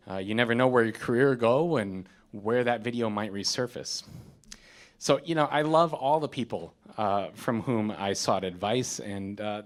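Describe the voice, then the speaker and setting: Earnest guy in his 30s from the us, lowish voice, halting with lots of uhs then gets firm, speaking on a theater stage. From United States. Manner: earnest, halting with frequent fillers, building to firm declarations. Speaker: community responder. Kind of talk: speech after a stage performance, read from notes. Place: theater, in front of an audience.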